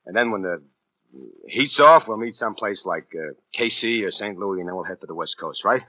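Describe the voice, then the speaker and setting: An actor playing a tough, jittery killer on the run in a 1940s radio noir, with clipped, slangy delivery. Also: male voice, on the low side, animated, brisk, dry recording. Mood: impatient. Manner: slangy, clipped, hard-boiled. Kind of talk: 1940s radio noir acting, first-person narration. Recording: dull vintage 1940s radio with music.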